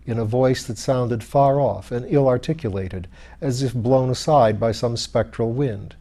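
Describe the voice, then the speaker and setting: A man's voice: medium pitch, measured pace, mellow. A man reading literary fiction aloud as an audiobook narrator. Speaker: audiobook reader.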